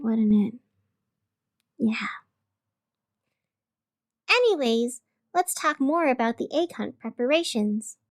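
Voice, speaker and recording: A high-pitched female radio host played by a voice actress takes her time with each line. She is wry and casually rambling, reading small-town community news in a scripted audio drama, with music underneath. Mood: dry, musing.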